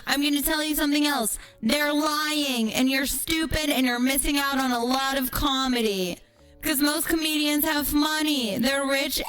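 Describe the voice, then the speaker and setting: A woman with a slightly high voice talks like a livestreamer holding court, brash and freely profane. Her delivery is casual and sarcastic, moving between chat and ranting stories, with music playing behind her. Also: fairly bright tone, rough recording. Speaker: streamer.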